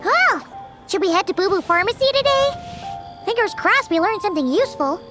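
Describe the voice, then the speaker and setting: A woman voicing a tiny, excitable, childlike fairy companion girl in a video game, with a squeaky, exclamatory delivery. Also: brisk. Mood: excited, bubbly. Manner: exclamatory, refers to self in third person. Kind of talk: video game character acting. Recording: music playing behind the voice.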